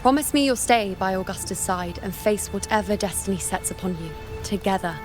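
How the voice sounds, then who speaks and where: A woman's voice: mid-range voice, fairly quick, over music, natural voice. An actress playing a composed, insightful woman giving counsel in a video game. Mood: solemn, earnest. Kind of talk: scripted video game voice acting.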